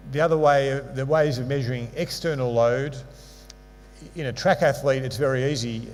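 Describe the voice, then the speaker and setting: A man's voice: mid-range voice, darkish tone. A man delivering a slide lecture in a big hall, his voice trailing a long reverb. He explains step by step, slips in dry little jokes, and a faint hum sits under the sound. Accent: non-local English accent. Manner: explanatory, with dry asides and fillers. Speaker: sports medicine lecturer.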